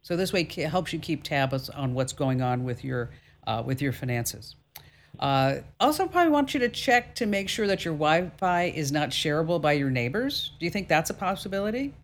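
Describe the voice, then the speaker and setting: A woman's voice: sits low, very animated, normal speed. A woman hosting a call-in radio show, giving tech advice in a chatty, upbeat and reassuring way with many fillers.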